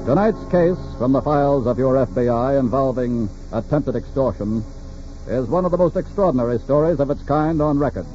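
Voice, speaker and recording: A dull vintage broadcast with music carries a male announcer. His voice is dark and mellow at an ordinary male pitch. He delivers scripted narration gravely and dramatically, in classic 1940s radio style. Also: animated. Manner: grave, dramatic, measured phrasing. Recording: muffled 1940s radio recording.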